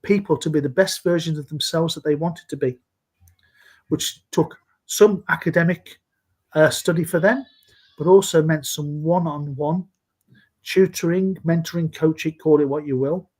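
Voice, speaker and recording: A man in his sixties from Scotland, speaking in a measured, earnest way as a guest in a live video-call interview. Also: fairly high pitch, darkish tone, rough recording. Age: 60s. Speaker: interview guest, safety leader.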